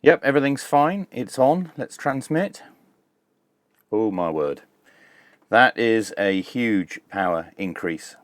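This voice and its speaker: A man hosting a hands-on tech demonstration, explaining each step in a measured, informal way. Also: lowish voice, animated, no room sound. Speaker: host of a radio tech review channel.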